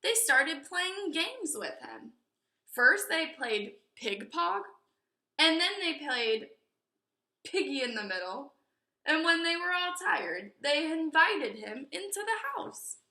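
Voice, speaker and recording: A woman reading a children's storybook aloud in an expressive, teacherly way, with explanatory asides. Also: high-pitched, slow pace. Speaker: storybook reader. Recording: echoey room.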